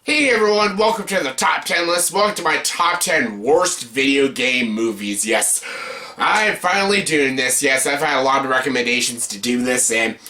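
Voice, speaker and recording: Bright-voiced man, blunt profane reviewer. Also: mid-range voice, normal speed. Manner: emphatic, repeats himself. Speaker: video host, amateur movie reviewer. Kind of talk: casual ranked-list movie review rant.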